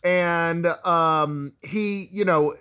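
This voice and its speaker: Casting: male podcast host, slightly high voice, relaxed. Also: extremely slow, clear, resonant tone. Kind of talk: casual chat, reading news items.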